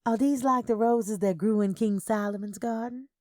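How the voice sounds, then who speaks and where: A woman's voice: fairly high pitch, steady tone, moderate pace, a little mellow, no room sound, clean studio sound, pitched above the performer's own voice, natural voice. A woman voicing a polite, plain-spoken married niece in a first-person audio story, courteous in manner. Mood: curious.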